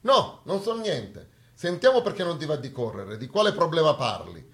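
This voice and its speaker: The boastful North, a haughty figure in comic theatre. The voice sits high and leaps around in pitch, scornful and impatient, with a theatrical mocking edge. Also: male voice, very animated, moderate pace, fairly bright tone. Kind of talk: theatrical comic monologue dialogue.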